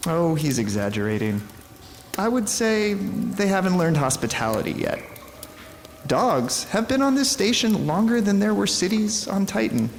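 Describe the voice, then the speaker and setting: Man doing a gentle old guy w dentures, creaky voice, super lively pitch, wry n polite, sci-fi audio drama, reverby. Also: moderate pace, slight background noise. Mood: wry, reassuring. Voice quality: creaky, gravelly. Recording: long reverb, faint music. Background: faint music.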